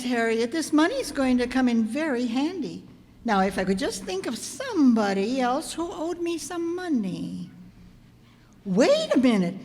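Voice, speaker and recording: In a reverberant hall, an actress plays a quick-witted female schoolteacher from a radio sitcom. Her high voice swings widely in pitch as she tosses off dry, sardonic wisecracks. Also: full character voice. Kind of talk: old-time radio sitcom acting before an audience. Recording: echoey hall, amateur stage performance.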